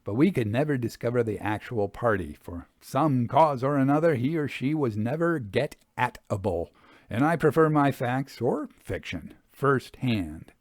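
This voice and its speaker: Male audiobook narrator, mid-range voice, expressive measured storytelling of period prose, very dry recording. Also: medium pitch, no room sound. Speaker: audiobook reader. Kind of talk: scripted literary narration of a Victorian travel memoir.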